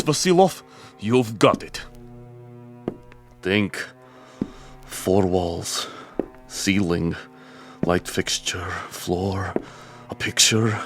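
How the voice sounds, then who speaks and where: An actor playing a proud, trapped military major in a radio drama, muttering tensely to himself in short, halting bursts. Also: male voice, on the low side. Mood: tense, defiant. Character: trapped Soviet major, tense, proud, desperately hunting for danger. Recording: music behind the voice.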